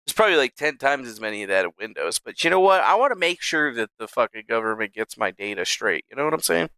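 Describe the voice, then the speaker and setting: Brief: cocky male streamer, bright forceful voice, dry close sound, sarcastic rambling rants. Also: medium pitch, sing-song, fast, smooth, no echo. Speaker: streamer host. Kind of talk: casual profane rant.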